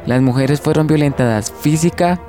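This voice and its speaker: A man's voice: on the high side, moderate pace. A man with a Colombian accent reading a scripted, informative radio narration. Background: faint music.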